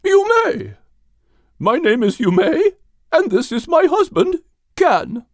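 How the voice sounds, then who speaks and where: A pompous storybook turkey, pitched high and swinging wildly up and down. The bird sounds smug and superior, grandly pleased with itself, in a close, dry recording. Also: male voice, sing-song, slow, dry recording, heavily acted. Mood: haughty.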